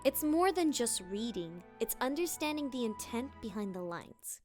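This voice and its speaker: A woman's voice: a little high, big pitch swings, moderate pace, faint music behind. A woman hosting a scripted, playful tutorial as a voice actor, with theatrical emphasis. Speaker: voice actor and video host.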